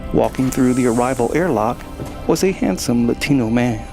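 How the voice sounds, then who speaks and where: A man's voice: medium pitch, animated, moderate pace, vocal fry, over music. A man reading scripted story narration for an audio drama.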